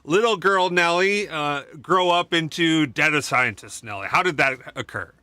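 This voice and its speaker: A man hosting a casual livestream, energetic and jokey as he welcomes his guest. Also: on the high side, normal speed, clean tone, no echo. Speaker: livestream host.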